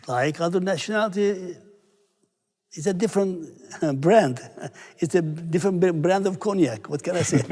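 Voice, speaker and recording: A man in his eighties from Syria, a priest answering interview questions in English, unhurried and searching for words. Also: a little high, warm tone. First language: Armenian. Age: 80s. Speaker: priest, church abbot. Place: large stone church. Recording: long church reverb.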